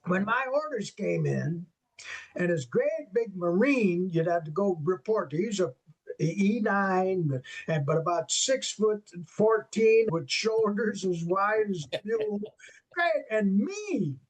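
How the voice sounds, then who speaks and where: Older man, high voice, lively storyteller. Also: slow pace, poor audio. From western New York. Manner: animated, acts out quoted dialogue, peppers speech with "man". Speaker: guest jazz saxophonist. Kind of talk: storytelling in an interview.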